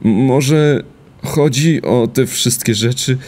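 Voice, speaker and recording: A man's voice: mid-range voice, slow, darkish tone, a little hiss or hum, lightly acted. Awkward, stammering young wolf played by a man. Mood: hesitant, musing. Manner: hesitant, stammering. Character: awkward, earnest, anxious young male wolf student. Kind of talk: comedic anime parody dub. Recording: music behind the voice.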